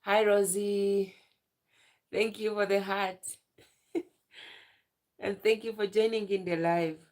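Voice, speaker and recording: A young woman on a live stream, tearful and emotional, talking in halting phrases and repeating herself. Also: medium pitch, level tone, darkish tone. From Uganda. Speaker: livestreamer.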